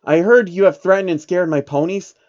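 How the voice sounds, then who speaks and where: A man's voice: high-pitched, a little fast, a little mellow, pitched above the performer's own voice, lightly acted. A man voicing a composed female pony princess in a storybook reading, polite and formal, on dull audio. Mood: stately, polite.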